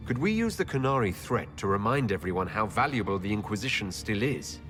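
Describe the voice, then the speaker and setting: An actor playing a composed elf Inquisitor in a fantasy game, speaking in a measured way. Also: male voice, fairly low pitch, sing-song. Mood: composed, concerned. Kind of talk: video game dialogue acting. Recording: long reverb, loud music behind.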